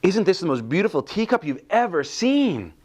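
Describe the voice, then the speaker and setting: Man as a gushing, awestruck wife, lively pitch. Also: moderate pace. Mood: awestruck, excited. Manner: excited, gushing. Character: woman antique shopper, gushing and awestruck wife.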